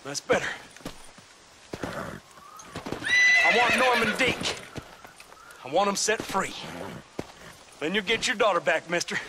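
An actor voicing a cocky, menacing, gleeful outlaw in a video game, with a mocking Western American drawl. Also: male voice, high-pitched, expressive, takes it slowly, pauses often, noisy background. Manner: drawling, mocking sing-song calls. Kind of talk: video game cutscene acting.

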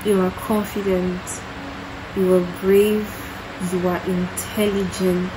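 A woman's voice: mid-range voice, level tone, takes it slowly. A young Nigerian woman in her twenties with a Nigerian accent, chatting casually to camera as a vlogger at home. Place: bedroom and living room at home. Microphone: phone at arm's length. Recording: noisy room ambience.

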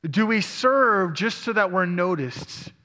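A man's voice: sits high, animated, slow pace, pushed and bright. A male pastor preaching a sermon, reading scripture aloud and asking his congregation questions. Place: church sanctuary. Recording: long church hall reverb.

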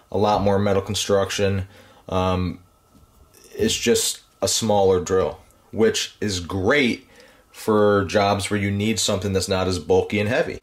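A man giving a casual, plainspoken tool review, with frequent ums and uhs. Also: sits low. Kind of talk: casual product review voice-over.

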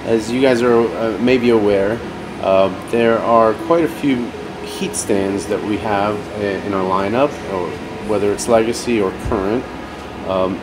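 Low-voiced man presenting a product, halting delivery. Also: rough recording. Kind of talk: casual product demonstration. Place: indoor set with brick wall, seated at table.

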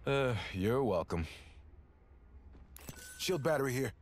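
An animated, earnest armored soldier hero. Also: male voice, medium pitch, slow. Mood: mildly awkward. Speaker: video game character voice actor. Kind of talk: short in-game combat voice lines. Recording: game sound effects and clicks behind. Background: gameplay noise.

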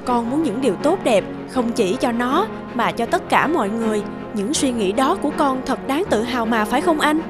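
A high female voice from an actress plays a gentle, understanding mother in a cartoon. She reasons earnestly and persuasively. Background music runs beneath her. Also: expressive, normal speed, few pauses, lightly acted. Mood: earnest, proud. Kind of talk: animated moral-story dubbing.

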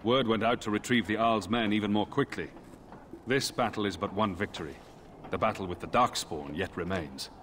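A solemn fantasy game warrior, acted by a man, speaks in a low voice. His delivery is formal and grave, and light outdoor ambience runs behind him. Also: moderate pace, fairly bright tone, a little hiss or hum, close to an everyday voice. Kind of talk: scripted video game dialogue.

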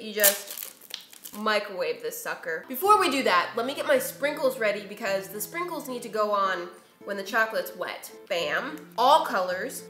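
A woman in her twenties hosting a casual, chatty baking vlog, with a self-deprecating, playfully exasperated way of talking. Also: fairly high pitch. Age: 20s. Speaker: host of a baking video series. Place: home kitchen, indoors. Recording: roomy kitchen echo. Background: background music.

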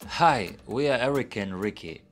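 A man's voice: mid-range voice, expressive, normal speed. A man hosting the channel, delivering an upbeat, scripted introduction. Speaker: channel host.

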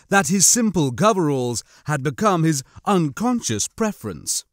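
Guy reading sci-fi audiobook, medium pitch, expressive storytelling, no room echo. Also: clean studio sound. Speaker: audiobook narrator. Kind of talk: expressive scripted fiction narration.

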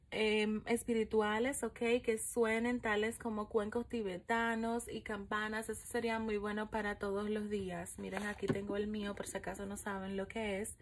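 A woman giving a casual spiritual fortune reading at home, filling gaps with "eh" and "um" and checking in with "¿ok?" again and again. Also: mid-range voice. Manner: chatty, many fillers, frequent "¿ok?" check-ins. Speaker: coffee-ground and tarot reader. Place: home room with candles and an altar, indoors. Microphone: phone camera over the table, speaker off screen.

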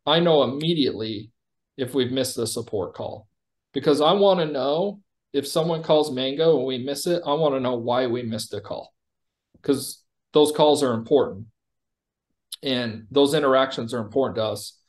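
A man giving a conversational product demo over a video call, explaining like a company executive presenting software. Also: medium pitch, moderate pace.

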